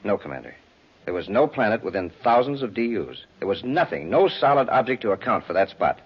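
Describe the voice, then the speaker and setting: An actor playing an earnest, shaken space lieutenant, intense and insistent, in a 1950s radio serial. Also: male voice, fairly low pitch, sing-song, a little fast, husky. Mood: troubled. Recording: dull 1950s radio recording.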